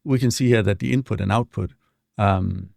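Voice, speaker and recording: A man walking through a software demo in a relaxed, explanatory way, with frequent fillers, speaking close to a desk mic. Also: fairly low pitch, moderate pace, creaky, no room sound. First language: not English. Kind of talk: screen-share tutorial walkthrough.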